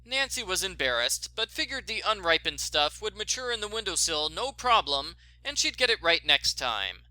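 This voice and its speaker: A man's voice: high-pitched, sing-song, moderate pace, sharp tone, poor audio. A man narrating a scripted story as a reader. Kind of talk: scripted fan fiction narration.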